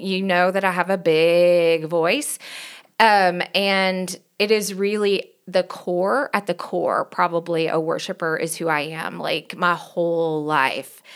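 A woman's voice: fairly low pitch, clean tone. A woman in her forties from Tennessee with a Southern accent, chatting earnestly as a pastor and podcast co-host. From Knoxville, Tennessee. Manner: warm, earnest, rambling with restarts. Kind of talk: casual chat.

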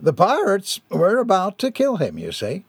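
A man voicing a commanding ship's captain who speaks in a grand, deliberate way, as if addressing a crowd. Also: high voice, wildly swooping pitch, slightly rough, dry recording, pitched above the performer's own voice, heavily acted. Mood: dramatic.

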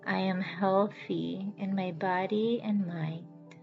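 A woman guiding a yoga nidra relaxation as host, with a soothing, measured manner and deliberate pauses. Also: mid-range voice, fairly even intonation, creaky. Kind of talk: guided relaxation meditation. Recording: slightly dull audio with background music. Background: music.

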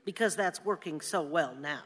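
An actress in a radio play voicing a fed-up, outspoken American wife who speaks in an emphatic, pleading way. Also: female voice, low-pitched, moderate pace, a bit bright. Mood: weary, cutting. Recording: long hall reverb.

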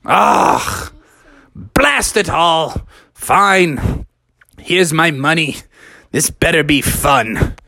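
A grumbling storybook father frog, played by a storyteller, pitched up above normal talk. He is blustery and exasperated, a put-upon head of the family. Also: expressive, very slow, gravelly, long pauses, bright, forceful tone, no echo, clean studio sound, heavily acted. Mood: grudging.

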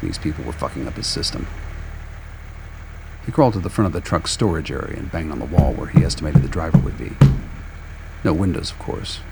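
A man's voice: extremely low pitch, animated, a little fast, vocal fry, pauses often. A man reading scripted radio play narration in a wry, sardonic manner. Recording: faint background noise.

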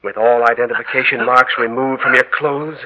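An actor playing a cold-blooded, greedy murderer with melodramatic gloating in a vintage radio drama. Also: male voice, medium pitch, steady tone, a little fast, natural voice. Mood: cruel gloating. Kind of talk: 1940s radio drama acting. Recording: dull, lightly noisy old radio recording.

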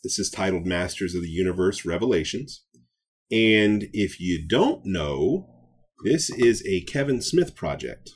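A man's voice: sits low, expressive, unhurried, breathy edge. A man co-hosting a podcast, talking casually and enthusiastically about nerd news with playful joking. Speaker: podcast co-host.

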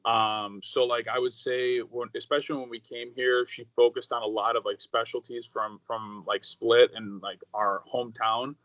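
A young man in his twenties, raised speaking Croatian at home, tells stories in a loose, rambling way full of fillers. His voice sits in a middle pitch, recorded close and dry with a slightly muffled tone. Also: moderate pace, hardly any pauses, no echo. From United States. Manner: rambling, many "like" fillers, animated storytelling. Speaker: guest. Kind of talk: casual interview chat.

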